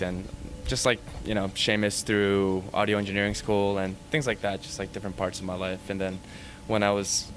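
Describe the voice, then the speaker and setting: A man's voice: fairly low pitch, a little hiss or hum. A man in his thirties, a band musician, answering interview questions in a hesitant, rambling way full of fillers. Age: 30s. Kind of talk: casual interview.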